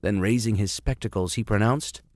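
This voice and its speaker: A man's voice: lowish voice, moderate pace, a little mellow. A man reading a classic story aloud as host, theatrical in delivery, with wry asides. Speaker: reader, host.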